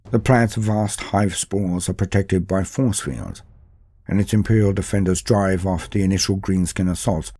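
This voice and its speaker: A man narrating a scripted fantasy history in a steady, dramatic storytelling manner. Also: low voice, slightly rough, dry recording. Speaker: lore narrator.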